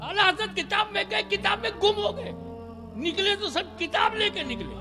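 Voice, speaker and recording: High-pitched fiery preacher shouting. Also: male voice, lively intonation, normal speed, music in the background. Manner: impassioned, shouting rhythmic refrains. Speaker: Islamic preacher. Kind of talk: fiery religious speech at a gathering.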